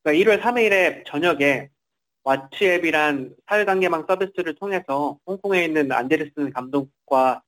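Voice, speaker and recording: Male reporter, slightly high pitch, semi-formal interview account, hesitant phrasing with self-corrections. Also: normal speed, a bit bright, rough recording.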